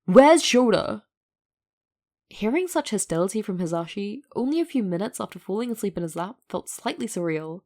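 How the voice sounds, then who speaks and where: A woman's voice: lowish voice, a little mellow. A woman narrating a piece of fiction from a script, as a storyteller. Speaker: narrator, fan fiction reader.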